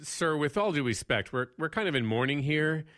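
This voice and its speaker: An actor playing an earnest, shaken park ranger in an audio drama, speaking haltingly and stammering as he pleads. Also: on the high side, lively intonation, a bit bright. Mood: urgent pleading. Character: earnest, shaken male park ranger, hesitant under pressure.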